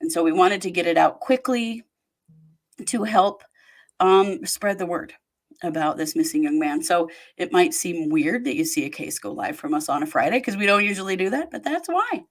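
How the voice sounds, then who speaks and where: A woman's voice: lowish voice, animated, moderate pace. A woman hosting a podcast, talking in a chatty, rambling way and laughing easily. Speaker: host. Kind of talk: casual podcast chat.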